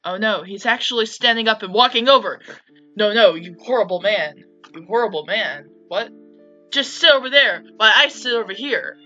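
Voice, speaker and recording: A woman's voice: mid-range voice, lively intonation, pushed and bright, muffled recording, soft background music. Woman voicing a flustered, shy young commoner girl. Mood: panicked. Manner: nervous, hurried chatter.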